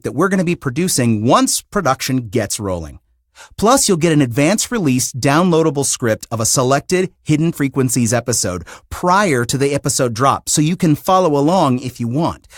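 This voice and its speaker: A man hosting a podcast, reading a scripted announcement in a polished, deliberate presenter's manner. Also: fairly high pitch, dry recording, studio quality. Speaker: podcast host and show creator.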